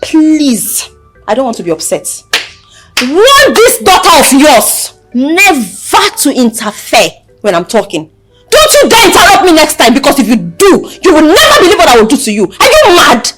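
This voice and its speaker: Casting: outspoken young village daughter, film drama. Female, Nigerian accent, high-pitched, scolding, repeats herself for emphasis. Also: sing-song, fairly quick. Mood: angry, scolding. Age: young adult. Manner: insistent, repetitive, emphatic. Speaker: actor. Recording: background music under the dialogue.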